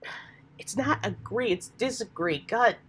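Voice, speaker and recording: A woman's voice: medium pitch, a little slow. A woman getting over a cold, speaking casually to her channel's audience between takes. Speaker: voice actor and channel creator. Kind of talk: casual announcement and off-script asides. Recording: clear background noise.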